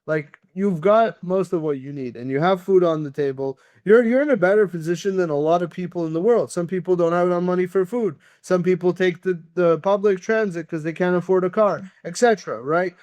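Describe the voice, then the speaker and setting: Podcast guest: man, native Russian speaker, high voice, smooth and clear, dry close sound, earnest motivational delivery. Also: fairly quick, few pauses, darkish tone, dry recording. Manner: earnest, motivational, repeats words when starting sentences. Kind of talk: conversational interview.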